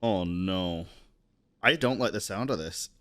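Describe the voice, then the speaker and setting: A man's voice: low-pitched, unhurried, a bit bright. A man giving casual live gameplay commentary as a streamer, reacting in slangy, sweary language. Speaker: game streamer.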